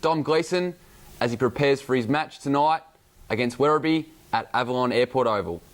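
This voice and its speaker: A man works as a sports reporter, reading prepared narration for a news bulletin. His pitch sits in the middle range for a male voice. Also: normal speed.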